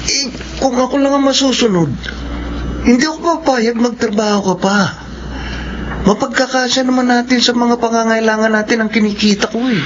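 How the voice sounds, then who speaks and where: A man's voice: very high voice, fairly even intonation, fairly quick. A man playing a protective, disapproving young husband and new father in a Filipino radio drama. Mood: worried, disapproving. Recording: dull, noisy radio drama recording.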